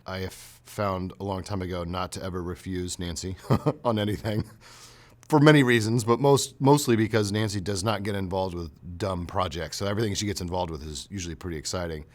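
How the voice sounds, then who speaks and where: Man, very low pitch, casual interview, teacher. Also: steady tone, normal speed. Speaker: college teacher being interviewed.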